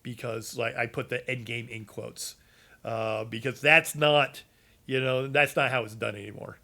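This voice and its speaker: A man's voice: mid-range voice, steady tone, a little slow, creaky, sharp tone. A man chatting casually about game builds, rambling with many fillers and restarts, and losing his voice. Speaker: gaming content creator.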